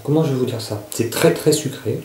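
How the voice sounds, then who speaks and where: A man's voice: medium pitch, a little fast, a little mellow. A man casually reviewing survival gear to camera. He often hesitates and searches for his words. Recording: echoey room.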